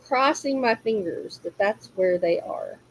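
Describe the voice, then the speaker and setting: A woman hosting a crafting livestream, chatting casually and reading comments aloud. She is a bit hoarse from allergies, uses a lot of fillers and often breaks into laughter. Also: mid-range voice, lively intonation, measured pace, a little hiss or hum, rough recording.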